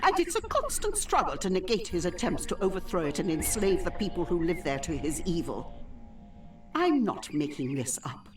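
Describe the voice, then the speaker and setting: A woman playing a wistful, older-looking healer in the swamps, with formal, old-fashioned, measured phrasing, in video game dialogue. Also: fairly low pitch, big pitch swings, far from the mic, faint music behind. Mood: solemn, earnest.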